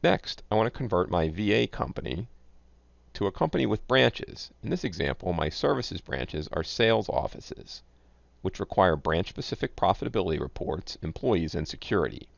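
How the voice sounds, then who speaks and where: Deep-voiced man reading a software tutorial. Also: sing-song, normal speed, vocal fry, dry recording. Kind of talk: scripted instructional narration. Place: close, dry voice-over recording. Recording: dull, lo-fi close voice-over.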